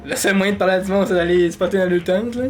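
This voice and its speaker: A man with a Québécois accent co-hosting a podcast, rambling casually, laughing often and trailing off quietly. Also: high-pitched, fairly even intonation, fast, soft background music. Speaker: podcast co-host. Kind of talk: casual chat.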